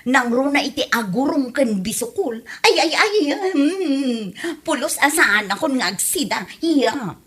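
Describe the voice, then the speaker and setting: A haughty village housewife acted by a woman in a comic audio drama. Her voice is high and sharp, swinging widely as she whines. She is fussy and theatrical. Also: lively intonation, measured pace, slightly rough, full character voice. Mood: haughty, whiny. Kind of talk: comedy radio drama acting.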